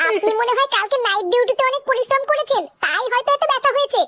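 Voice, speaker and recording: A performer voicing a protective, sharp-tongued village daughter-in-law in a comedy cartoon, with loud noise behind. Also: extremely high pitch, fast talker. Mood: concerned. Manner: respectful to elder, sharp-tongued scolding.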